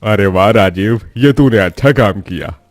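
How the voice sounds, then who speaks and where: A man voices an elderly father-in-law in a family tale. He sounds low and plain-spoken, kindly and protective. The recording is dry, with faint music behind. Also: moderate pace, no room sound, close to an everyday voice. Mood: pleased. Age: older.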